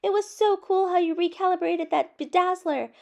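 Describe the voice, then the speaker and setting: Woman voicing an excitable, high-pitched young girl unicorn. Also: normal speed, creaky. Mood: gushing apology. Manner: breathless, exclamatory, earnest pleading. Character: excitable young female unicorns, pleading and gushing.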